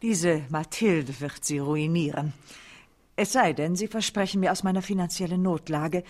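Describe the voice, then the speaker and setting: A woman's voice: fairly low pitch, moderate pace, darkish tone, natural voice. An actress playing a proud, scheming noblewoman in a formal, controlled German radio drama style of the seventies. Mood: urgent, commanding. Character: proud, scheming, vengeful aristocratic French lady.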